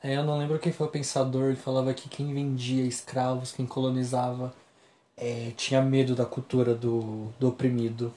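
A man's voice: mid-range voice, level tone, normal speed, mellow, slight background noise. Man, Brazilian accent, casual podcast talk, rambling, echoey room. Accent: Brazilian Portuguese. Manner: casual slangy talk with frequent restarts. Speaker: podcast co-host. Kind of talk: casual chat.

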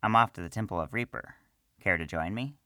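A man voicing a gentle, polite male wizard in a storybook reading. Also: on the low side, brisk, dry recording, studio quality. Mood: friendly.

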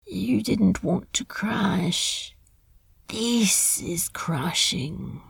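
A woman playing a male demon in a fan audio drama, sardonic, exasperated and insecure, speaking in halting, drawn-out phrases. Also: mid-range voice, creaky, breathy edge, no room sound, clean studio sound, full character voice. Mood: hushed, overwhelmed.

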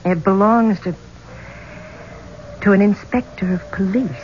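An actress playing an excitable but wary young woman in a 1970s radio drama, speaking with wonder in halting phrases. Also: medium pitch, close to an everyday voice. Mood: guarded, confiding. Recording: muffled, noisy old radio recording.

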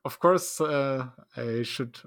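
A man hosting a casual podcast, relaxed and laughing often, with loose, filler-heavy phrasing. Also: medium pitch, slow pace, no room sound, studio quality. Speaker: podcast host.

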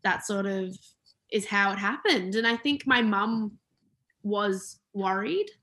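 A woman's voice: medium pitch, takes it slowly, pushed and bright. Hesitant young woman, casual chat. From Australia. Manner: hesitant, many fillers and restarts. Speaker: interviewee. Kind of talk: casual conversation about family history.

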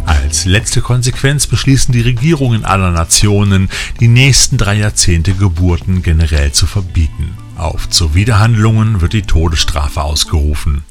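A man with a deep voice presents a scripted news magazine for fans. He talks in colloquial, enthusiastic patter, as a host addressing his audience. Music plays softly behind him. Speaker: podcast host. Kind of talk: scripted newsreel-style magazine narration. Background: music bed.

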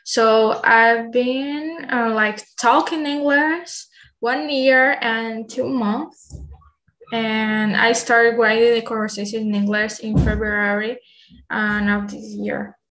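A woman's voice: on the high side, steady tone, slow pace, a bit bright, low-quality recording. A nineteen-year-old woman from Brazil with a Brazilian accent, hosting an English conversation group over a video call, hesitant with many ums. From Bahia, Brazil. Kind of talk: casual online English practice chat.

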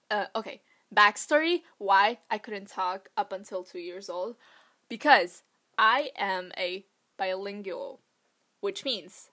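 Young woman rambling on her podcast, slow and chatty, lots of likes and ums. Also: medium pitch, pushed and bright. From Vietnamese family, raised in Poland. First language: Vietnamese. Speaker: podcast host. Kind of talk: casual solo podcast chat.

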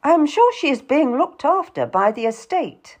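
A woman's voice: sits high, big pitch swings, moderate pace, a little mellow, voice pushed up. A woman voicing a composed, sceptical genteel lady, cool and unconvinced, in an audiobook story.

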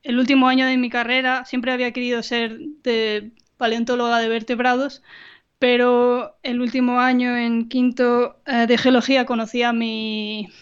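A woman with a slightly high, smooth voice talks thoughtfully about her work, pausing and restarting often. Her voice comes through a remote call that sounds muffled and lo-fi. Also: level tone. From Argentina. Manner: thoughtful, many fillers and restarts, light laughs. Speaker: guest scientist. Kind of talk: casual podcast interview. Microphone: remote video call.

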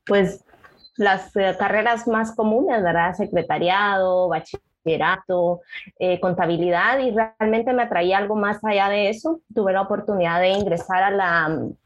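A woman's voice: mid-range voice, normal speed, lo-fi sound. A woman from Guatemala with a Guatemalan accent, a panel guest telling her story unhurriedly with many fillers. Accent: Guatemalan Spanish.

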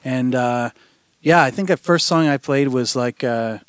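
A man who grew up in England, a musician guest telling his story in a relaxed, rambling way with plenty of fillers. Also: mid-range voice, a little slow, clear, resonant tone, no room sound, clean studio sound. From England (Cornwall). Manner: rambling, easygoing, filler-heavy, trails off softly. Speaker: podcast guest, musician and realtor. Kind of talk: casual interview storytelling.

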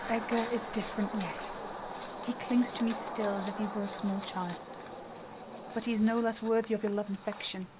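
A woman's voice: mid-range voice, fairly even intonation, measured pace, pauses often, natural voice. A woman playing a firm, protective queen and mother, formal, earnest and indignant, in an amateur fantasy audio drama. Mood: pleading. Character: firm, protective queen pleading for her son. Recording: noticeable background noise.

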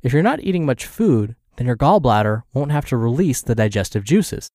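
A man hosting a podcast, reading in a measured, explanatory narration with a slightly congested, scratchy voice from a cold. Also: mid-range voice, sing-song, darkish tone, no room sound, studio quality. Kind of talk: scripted podcast narration, reading an article aloud.

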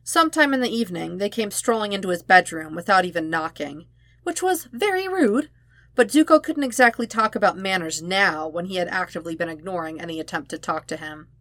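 A woman reading fan fiction aloud in an expressive audiobook style, with emphatic, dramatic phrasing. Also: lowish voice, fairly quick, no echo. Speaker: podfic narrator.

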